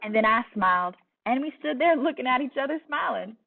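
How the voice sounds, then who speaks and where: A woman with a Southern accent reads a picture book aloud in an expressive storytelling style. Her voice sits a little high and often breaks into fry. The sound is thin and phone-like. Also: brisk, a little hiss or hum. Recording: distant, tinny phone-like sound.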